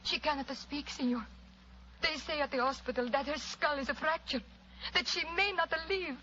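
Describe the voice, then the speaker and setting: A woman's voice: high-pitched, normal speed, bright, forceful tone, lo-fi sound, a little hiss or hum. A woman acting an imperious, distressed opera diva with an Italian accent and broken English, melodramatic in old-time radio style. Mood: grave, distressed.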